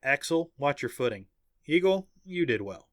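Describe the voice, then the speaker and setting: Man voicing a brisk, commanding female team captain. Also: mid-range voice, sing-song, no echo, studio quality, voice pushed up, close to an everyday voice. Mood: firm. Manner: commanding, clipped. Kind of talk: audiobook character dialogue.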